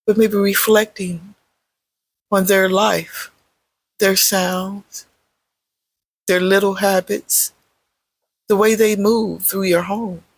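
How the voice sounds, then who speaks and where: A meditation guide speaks very slowly in a creaky, husky voice of middling pitch. The phrases are short and gentle, with space left between them. The sound is dry and close, suited to guided relaxation. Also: dry recording. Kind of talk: guided meditation, unscripted spoken guidance.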